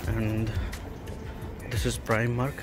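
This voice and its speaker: A man giving casual, halting walking tour commentary as a travel vlogger, in simple phrases. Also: lowish voice, little pitch movement, over music. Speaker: travel vlogger guiding a town walk. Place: outdoors on town centre streets.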